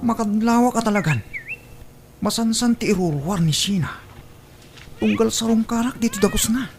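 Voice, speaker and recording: A woman's voice: a little high, normal speed, music in the background, natural voice. A woman acting an evasive, jealous lover in an audio drama, with a Filipino accent. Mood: suspicious. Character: Boni, evasive, jealous lover short of money. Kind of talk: Ilocano radio drama acting.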